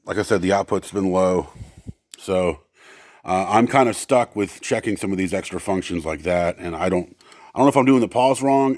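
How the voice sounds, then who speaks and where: A man giving a casual, unscripted equipment demonstration as a seller, speaking in a halting way as he thinks aloud. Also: low voice, dry recording, clean studio sound.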